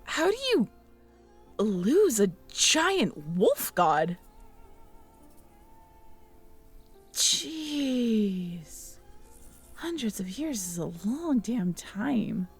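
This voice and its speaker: A woman acting a caring, teasing, curious young girlfriend in an intimate roleplay audio drama, talking softly and conversationally to the listener. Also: fairly high pitch, sing-song, drawn-out words, breathy edge, soft background music, exaggerated voice. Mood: astonished, excited. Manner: soft, intimate, conversational, playful.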